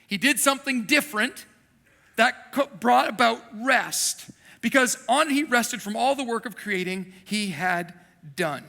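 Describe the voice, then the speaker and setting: A man preaching a sermon as a pastor, telling animated, self-deprecating stories from his childhood in Thailand. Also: extremely high pitch, measured pace, gravelly. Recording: long hall reverb.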